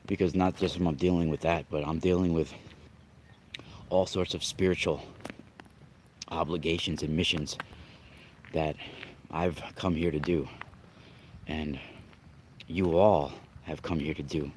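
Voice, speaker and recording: A man's voice: extremely low pitch, fairly even intonation, a little slow, pauses often, a little mellow, slight background noise. A young adult man with a cold and a slightly nasal voice, chatting casually on a livestream as host and spiritual reader, rambling and restarting often. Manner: rambling, restarts often, greets chat viewers. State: congested with a cold, coughing.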